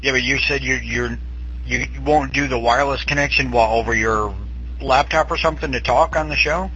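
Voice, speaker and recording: A man's voice: medium pitch, fairly even intonation, a little fast, sharp tone. A man co-hosting a casual internet talk show, chatty and joking, speaking through a cheap headset. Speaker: podcast co-host. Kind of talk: casual chat. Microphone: cheap USB headset on laptop. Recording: dull lo-fi call audio, faint hiss.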